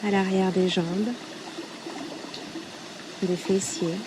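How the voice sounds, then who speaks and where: Slow, even-voiced woman guiding meditation by a river. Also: mid-range voice, background noise. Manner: unhurried, soothing, long pauses between short phrases. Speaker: yoga nidra guide. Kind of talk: guided meditation. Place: outdoors at a riverbank. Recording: outdoor recording with loud running river water. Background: flowing, trickling stream water.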